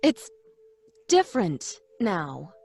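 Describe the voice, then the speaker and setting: A woman's voice: on the low side, wildly swooping pitch, extremely slow, vocal fry, darkish tone, slight background noise, exaggerated voice. An actress playing an anxious wife and mother in a live audio drama, uneasy and pleading, rising to panicked cries. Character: anxious adult wife Lydia, frightened mother. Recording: live stage recording with faint music.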